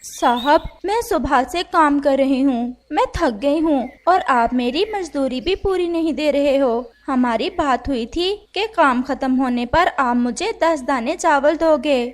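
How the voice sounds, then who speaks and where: A woman's voice: high voice, measured pace, few pauses. A woman voicing a poor, tired little bulbul bird pleading for her full wages. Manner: pleading, complaining of unfair pay.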